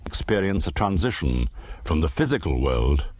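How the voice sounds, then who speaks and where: A man narrating a dramatised documentary in a grave, theatrical and deliberate manner. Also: rumbling low, very animated, darkish tone, no echo.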